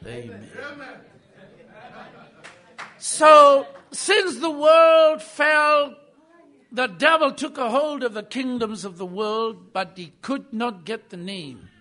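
A man preaching with a very high, bright, ringing voice. He hammers points home with sudden loud bursts, then drops into quiet asides. He is a visiting preacher at the pulpit. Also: lively intonation, takes it slowly. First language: not English. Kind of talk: sermon.

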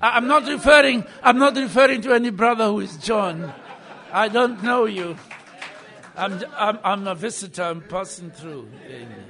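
A man preaching with a very high, bright, ringing voice. He hammers points home with sudden loud bursts, then drops into quiet asides. He is a visiting preacher at the pulpit. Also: moderate pace. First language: not English. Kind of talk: sermon.

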